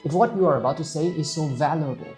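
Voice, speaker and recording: A man's voice: a little high, fast, darkish tone, roomy sound, faint music behind. A young man whose first language is Vietnamese, confidently coaching on social media marketing with numbered points. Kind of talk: direct-to-camera social media lesson.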